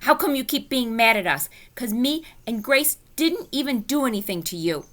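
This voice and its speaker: A spunky kindergarten girl chatters away in a storybook reading, as a woman puts her on. Her lifted, bright voice swoops up and down, eager and bouncy, getting loud whenever she is excited. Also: a little high, normal speed, voice pushed up.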